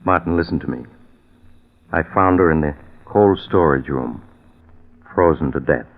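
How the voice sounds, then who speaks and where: An actor playing a concerned, steadying friend, earnest and admonishing in a deliberate 1940s radio drama style. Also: male voice, extremely low pitch. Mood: grave. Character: concerned, steadying male friend of a doomed man. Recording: very muffled old 1940s radio recording.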